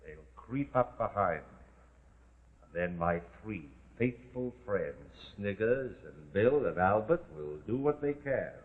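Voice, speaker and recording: An actor playing a cunning, commanding gentleman leader of thieves, unhurried and coolly scornful, in 1940s suspense style. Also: lowish voice, animated, a little hiss or hum. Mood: calm menace. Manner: unhurried, superior, coolly scornful of his men. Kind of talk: 1940s live television suspense acting. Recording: muffled, narrow-band 1940s TV broadcast.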